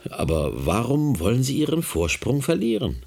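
Condescending male programme chief, radio play: smug, creaky and husky, swooping intonation. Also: medium pitch, huge pitch swings, normal speed, darkish tone, full character voice. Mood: smug, placating. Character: suave, condescending male head of research programme. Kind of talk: German radio drama acting.

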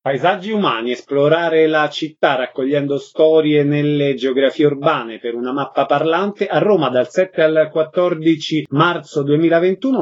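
A man with a fairly high voice hosts a radio interview. He moves between brief questions and scripted links, and the sound is a little dull and lo-fi.

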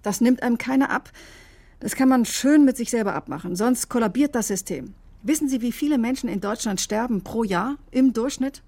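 A woman's voice: fairly high pitch, fairly quick, darkish tone. An actress playing a defensive, conscience-stricken woman doctor in a radio drama, recounting events in a precise, clinical way. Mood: troubled, defensive. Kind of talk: German radio drama acting, testimony-like narration.

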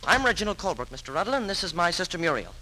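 Priggish young brother, quick, bright, stiff delivery, old radio drama. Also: male voice, sits high, sing-song, full character voice, poor audio. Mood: indignant. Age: young adult. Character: indignant, priggish young law student, snobbish brother. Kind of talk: 1940s radio drama acting.

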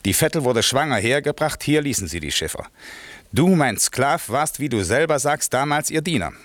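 A man's voice: mid-range voice, lively intonation, normal speed, no room sound, natural voice. A man playing a stern, imperious magician master who can turn benevolent, declaiming verse with authority in a German classical radio play. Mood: angry, accusing.

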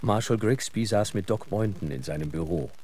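A man's voice: on the low side, lively intonation, moderate pace, a little mellow, slightly rough. A man narrating a German radio drama as a sober storyteller, with scripted delivery. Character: sober storyteller narrating a western crime tale.

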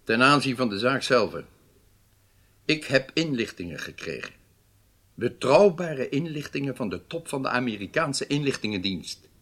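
A man acting in a radio drama as a stern, authoritative government leader who speaks formally and with measured weight. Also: medium pitch, big pitch swings, fairly bright tone. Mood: stern reproach. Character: stern, weighty Israeli government leader rebuking his intelligence chief.

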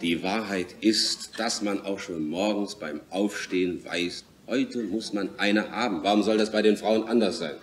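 A man's voice: on the low side, measured pace, hardly any pauses, lightly acted. An actor playing a brash, bawdy young man in a German radio play, teasing and lecturing in a worldly, mocking way. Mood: lecturing, amused. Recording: echoey room, slightly distant, faint hiss.

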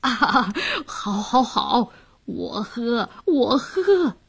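A woman storyteller voicing a lecherous, self-important male noble villain who wheedles with drunken, drawn-out words. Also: a little high, big pitch swings, husky, voice pushed up, full character voice. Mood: drunken delight. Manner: leering, slurred, drawn-out wheedling.

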